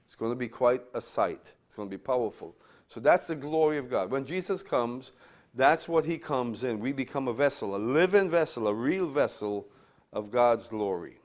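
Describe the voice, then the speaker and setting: A man's voice: medium pitch, lively intonation, moderate pace. An older man from Trinidad, a pastor giving a conversational Bible lecture to a class, often checking in with "right?" and repeating his words.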